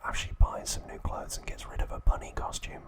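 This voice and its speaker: Whispering man, soft ASMR narration. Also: quick pace. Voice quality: breathy, unvoiced whisper. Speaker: ASMR host.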